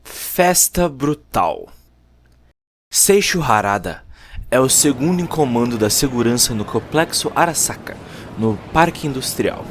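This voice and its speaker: A Brazilian man narrating a dramatic scripted audio story in a theatrical, deliberate way, with short emphatic phrases. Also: mid-range voice, very animated. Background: prominent music.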